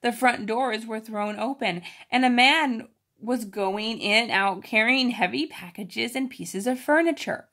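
A woman reads a children's story aloud with expressive emphasis, pausing to explain it informally. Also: fairly high pitch. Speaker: storybook reader.

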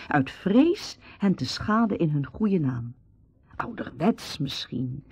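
A woman narrating a radio drama from a script, with a husky, mellow voice. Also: low voice, no room sound. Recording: dull radio recording, roomless and dry.

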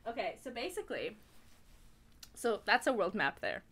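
A woman's voice: a little high, big pitch swings, moderate pace, a bit bright, husky. A young adult woman co-hosting a podcast, telling stories in a casual, playful, giggly way. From born in Kuala Lumpur, Malaysia. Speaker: podcast co-host. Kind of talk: casual chat, storytelling.

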